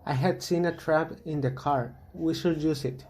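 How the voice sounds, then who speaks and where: A monster hunter in a radio play, acted by a man, with a fairly high voice. He talks like someone working out a plan with his team. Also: fairly even intonation, normal speed, darkish tone, close to an everyday voice. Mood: urgent. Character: monster hunter planning a trap. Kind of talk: amateur radio drama acting.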